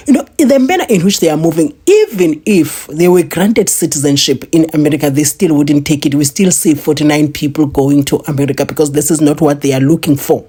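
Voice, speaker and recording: A woman with a deep, dark voice hosts a casual news commentary channel. Her husky tone slips into frequent laughs and emphatic rises. She is chatty and opinionated. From South Africa. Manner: laughs often, emphatic rising pitch.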